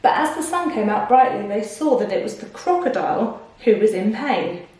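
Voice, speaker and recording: A woman's voice: mid-range voice, very animated, normal speed, darkish tone. A woman reading a children's story like a teacher, expressively, stopping to put questions to young listeners. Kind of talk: children's storybook narration with asides. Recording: very echoey room, distant microphone.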